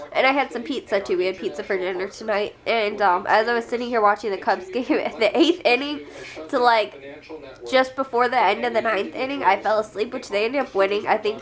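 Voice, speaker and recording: Congested young woman vlogger, rambling. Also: a little high. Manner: rambling, chatty, laughs often. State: congested, sick. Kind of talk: casual chat to camera. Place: at home.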